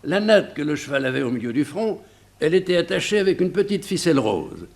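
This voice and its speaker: An actor playing an obliging, simple concierge in a 1960s French radio drama, halting and repeating himself. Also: male voice, fairly high pitch, big pitch swings, vocal fry, heavily acted. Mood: hesitant, obliging. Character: obliging, hesitant male building concierge, simple and chatty.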